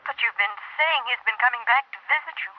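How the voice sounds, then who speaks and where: Worried grown daughter; actress, high and slow, vintage radio drama. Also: female voice, slight background noise, lightly acted. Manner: worried, hesitant. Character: worried adult daughter Helen on the phone. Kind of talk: 1960s radio drama acting. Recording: dull vintage radio recording.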